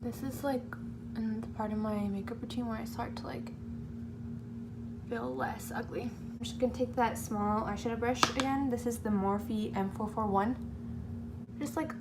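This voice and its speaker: A 21-year-old woman with a slightly hoarse voice, chatting casually through a makeup tutorial in a rambling, self-deprecating way. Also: a little high, steady tone, moderate pace, over loud music. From California, United States. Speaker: beauty vlogger. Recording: amateur home video, clear humming background noise.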